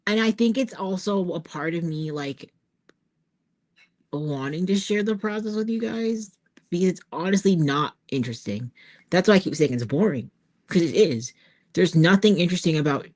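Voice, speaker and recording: A woman artist chatting informally to her viewers as she works, halting and thinking aloud. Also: lowish voice, expressive. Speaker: author-artist hosting a creative livestream. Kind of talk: casual chat to viewers while working on art.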